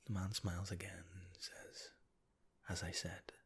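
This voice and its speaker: A man with a very low, deep voice whispers a calming guided relaxation. His delivery is slow and unhurried, with a husky, breathy texture throughout. He speaks in soft, soothing second person. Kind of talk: ASMR guided relaxation narration.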